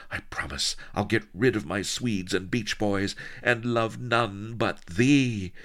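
A man's voice: fairly low pitch, fairly bright tone, pitched above the performer's own voice. A man voicing a passionate, lovesick pirate queen in a story, with theatrical, melodramatic archaic speech.

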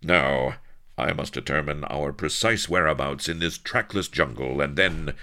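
Man playing a priggish, unflappable English gentleman hero. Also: extremely low pitch, a little slow, heavily acted. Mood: alert, puzzled. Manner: cool, prim, stiff-upper-lip delivery.

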